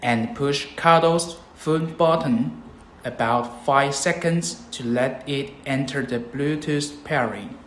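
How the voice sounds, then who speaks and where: A man presenting a scripted product tutorial, explaining things in a measured, step-by-step way. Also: a little high, a bit bright. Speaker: product demonstrator. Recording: roomy echo, background noise.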